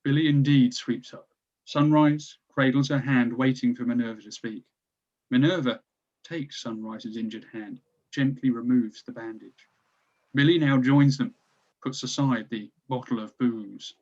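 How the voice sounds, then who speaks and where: A man's voice: mid-range voice, fairly even intonation, measured pace, a little mellow, muffled recording. A man reading stage directions as scripted narration for a screenplay table read.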